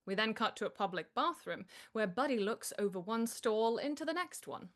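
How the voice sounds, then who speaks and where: A woman's voice: on the high side, animated, normal speed, a bit bright. Woman narrating a comedy script. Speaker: narrator reading stage directions. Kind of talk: scripted narration at a live comedy table read.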